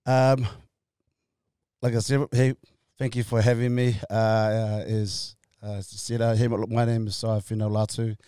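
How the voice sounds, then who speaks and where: A man in his forties from Tonga, telling his story as an interview guest in a halting way, full of fillers and restarts, laughing easily. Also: on the low side, level tone, mellow, clean tone, no room sound, studio quality. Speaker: guest, company co-founder. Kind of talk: casual interview chat.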